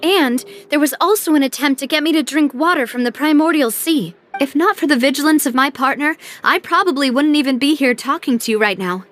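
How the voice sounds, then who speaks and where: A woman playing a poised, resolute young investigator in a video game, speaking in a deliberate, thoughtful way. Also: sits high, fairly bright tone, soft background music. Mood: serious, confiding. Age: young adult.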